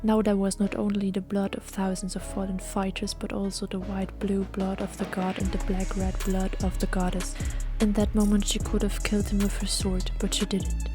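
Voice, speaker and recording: A woman reading scripted fantasy audio play narration in a solemn, measured storytelling manner. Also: medium pitch. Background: music.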